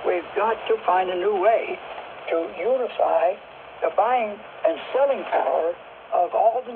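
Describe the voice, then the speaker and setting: A man giving an oratorical address, heard over shortwave radio. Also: high voice. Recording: muffled shortwave radio with static.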